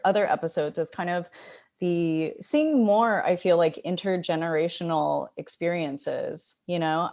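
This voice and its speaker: A woman's voice: lowish voice, darkish tone. Hesitant woman moderating a Q&A. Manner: hesitant, with many fillers and restarts. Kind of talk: festival Q&A questions.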